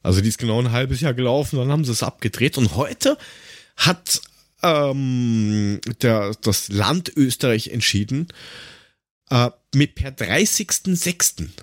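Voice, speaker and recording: A man from Lower Austria with an Austrian accent, chatting casually and sarcastically as a podcast co-host, given to ranting and crude jokes. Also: expressive, a little slow, no echo, studio quality. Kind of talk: casual chat.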